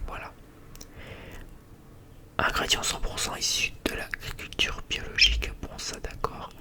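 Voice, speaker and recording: An ASMR creator chatting casually in a whisper, rambling hesitantly and often repeating words. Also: measured pace.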